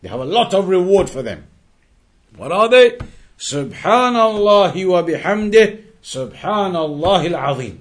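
A man's voice: high voice, big pitch swings, quick pace. A man giving a religious lesson as a teacher, moving between reciting quotations and explaining them.

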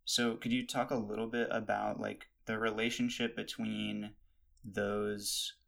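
Male interviewer, gravelly and monotone, halting and hedging as he asks. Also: lowish voice. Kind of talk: casual interview questions.

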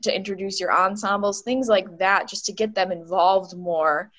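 A woman's voice: mid-range voice, fairly even intonation, moderate pace, fairly bright tone. A woman music teacher interviewing a colleague, talking in long, encouraging, run-on explanations. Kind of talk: casual conversational interview.

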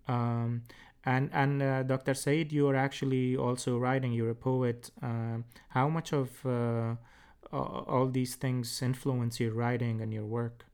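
Gravelly-voiced man moderating a panel. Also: mid-range voice, level tone, a little mellow. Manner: halting, many "uh"s. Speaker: host, moderator. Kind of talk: panel discussion.

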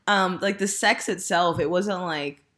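A woman's voice: lowish voice, measured pace, fairly bright tone. A woman in her thirties hosting a casual podcast, talking frankly and laughing often, with lots of fillers. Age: 30s. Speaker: podcast host. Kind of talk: candid casual chat.

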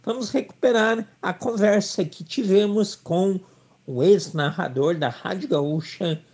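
A man's voice: high voice, measured pace, darkish tone. A man hosting a sports radio show, giving upbeat, formal introductions and questions to his guests. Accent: southern Brazilian Portuguese.

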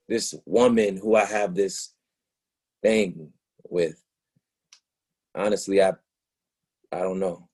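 An actor playing a vulnerable young city man confessing to his lover in a play reading, halting and earnest. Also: deep voice, warm tone, slightly rough, full character voice. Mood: unsure, tender. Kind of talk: dramatic monologue in a staged play reading.

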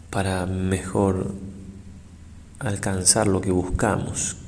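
A man, a priest, leading a guided prayer meditation in a solemn, measured, reverent way with long pauses. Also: low voice, a little mellow. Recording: long hall reverb, faint background noise.

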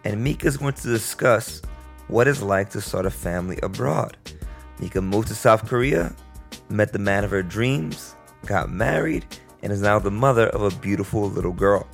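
A man's voice: fairly low pitch, moderate pace, gravelly, faint music behind. A man speaking as a podcast host in a casual, conversational interview style. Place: remote long-distance call from Costa Rica.

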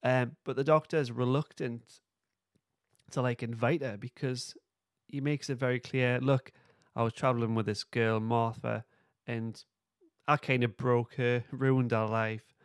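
A man's voice: mid-range voice, fairly even intonation, unhurried, clear, resonant tone, dry recording, studio quality. A man co-hosting a podcast, loosely and a little hesitantly recapping a story in casual chat. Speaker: podcast co-host.

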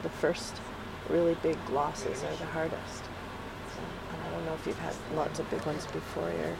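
A woman talking gently and reassuringly in a casual, heartfelt conversation. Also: sits low, level tone, normal speed, gravelly. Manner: gentle, reassuring, laughs softly. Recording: loud vehicle road noise.

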